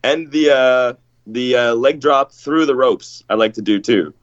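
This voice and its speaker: A man chatting casually and haltingly as a guest, with a deferential way of talking. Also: medium pitch, no echo. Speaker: professional wrestler, guest on a wrestling show. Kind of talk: casual chat. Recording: slightly dull, lo-fi sound.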